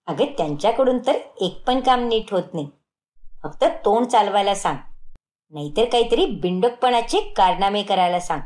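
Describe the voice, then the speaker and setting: Cartoon mother-in-law, middle-aged, bossy, proud, emphatic, lively intonation; female performer. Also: medium pitch, lightly acted. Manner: emphatic, scolding and praising in turns. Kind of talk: animated moral-story cartoon dialogue.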